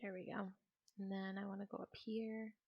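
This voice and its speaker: A woman's voice: mid-range voice, level tone, normal speed, slightly rough, lo-fi sound. A woman in her twenties chatting casually and ramblingly as she streams a video game, sometimes trailing off into mutters to herself. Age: 20s. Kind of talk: casual chat while playing a video game.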